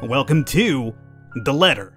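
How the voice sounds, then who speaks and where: A man's voice: medium pitch, lively intonation, a little slow, fairly bright tone. Enthusiastic male gaming host over faint music. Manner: enthusiastic, informal. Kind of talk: casual let's play commentary.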